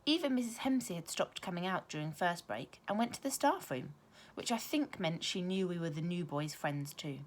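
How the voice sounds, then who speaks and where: Woman, slightly low pitch, expressive storybook reading, primary teacher delivery, careful emphasis, dramatic pauses.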